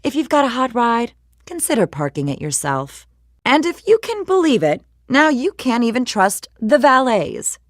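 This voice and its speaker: An actress playing a polished, brisk woman news reporter, reading scripted bulletins in a measured, slightly wry newsreader delivery for a game. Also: medium pitch, no echo, clean studio sound, natural voice. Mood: wry, cautionary.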